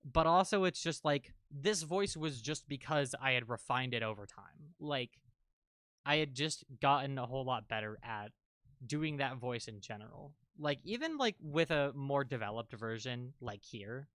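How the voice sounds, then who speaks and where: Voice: mid-range voice, animated, normal speed, fairly bright tone, no room sound. A streamer and voice actor chatting casually and rambling with lots of fillers, a little congested. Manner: rambling, filler-heavy, self-interrupting. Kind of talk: casual let's-read commentary.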